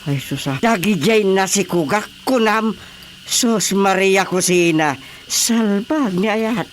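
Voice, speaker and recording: Higher-voiced bemused Ilocano village chairman. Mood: exasperated, amused. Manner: drawn-out hums, probing questions. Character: bemused, patient village chairman hearing confessions. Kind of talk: Ilocano radio drama comedy acting.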